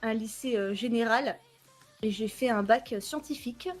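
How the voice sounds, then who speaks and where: Une jeune femme du sud de la France, invitée d'une émission esport, qui parle de son parcours sur un ton joueur et moqueur envers elle-même, en riant souvent. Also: female voice, fairly high pitch, moderate pace, faint music behind. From southern France, near Aix-en-Provence. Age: young adult. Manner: playful, self-mocking, laughs often. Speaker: guest, esports team member. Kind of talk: casual interview chat.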